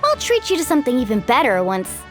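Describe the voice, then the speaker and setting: Animated, confident young competitor. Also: female voice, sits high, fast talker, faint music behind, exaggerated voice. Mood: friendly, upbeat. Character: friendly, confident young female contestant. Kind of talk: casual dialogue, English game dub acting.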